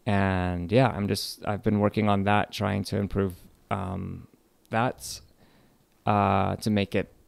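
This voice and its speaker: Young Californian lecturer, low gravelly voice, relaxed and halting, dry close sound. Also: male voice, dry recording. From California, United States. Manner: relaxed, hesitant, many fillers and restarts. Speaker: English literature lecturer. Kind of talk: casual radio interview.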